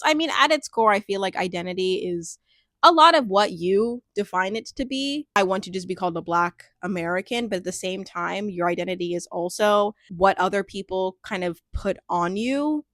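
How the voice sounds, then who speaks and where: A young adult woman speaking candidly in a reflective personal monologue, thoughtful and searching, with frequent "like" fillers and soft laughs. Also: mid-range voice, no echo, studio quality. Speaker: personal storyteller.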